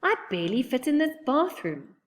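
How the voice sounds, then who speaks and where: An oversized young bigfoot boy, played by a woman reading a storybook, anxious and sulky. The squeaky voice sits higher and swings about, with a whiny, self-conscious edge. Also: very animated, normal speed. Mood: worried, whiny.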